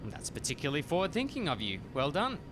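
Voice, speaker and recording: An actor playing a stern, pompous university lab inspector in a comic radio play, officious and condescending. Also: male voice, a little high, huge pitch swings, fast talker, background noise. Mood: suspicious.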